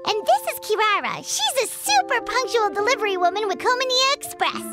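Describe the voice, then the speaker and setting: A woman's voice: extremely high pitch, sing-song, a little fast, over music. An actress voicing a tiny, cheeky, childlike female fairy companion in a video game, chirpy and blunt. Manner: chirpy, blunt, refers to self in third person.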